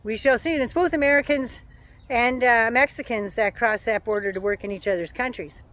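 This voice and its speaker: A woman giving a sarcastic, profane political rant as a vlogger, her voice going hoarse. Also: on the high side, fairly quick, fairly bright tone. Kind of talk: casual ranting monologue.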